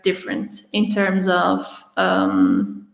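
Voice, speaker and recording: A raspy-voiced woman in her twenties chats through viewer questions as a livestream host, restarting her sentences now and then. Her voice sounds a little strained, and it rings out in a roomy space with a long reverb tail. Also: mid-range voice, sing-song, takes it slowly, soft background music. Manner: chatty, with frequent restarts and laughter. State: having trouble speaking. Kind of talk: casual Q&A livestream.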